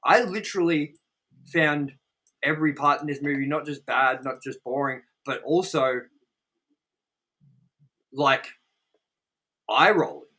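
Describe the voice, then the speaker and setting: A man's voice: fairly high pitch, measured pace, long pauses, sharp tone, rough recording. A man co-hosting a casual movie review chat, rambling emphatically and swearing freely. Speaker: review show co-host.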